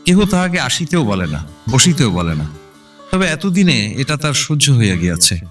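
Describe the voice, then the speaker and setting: A man's voice: mid-range voice, big pitch swings, moderate pace. Male story narrator, slightly distant, over music. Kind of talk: dramatic scripted literary narration. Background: background instrumental music.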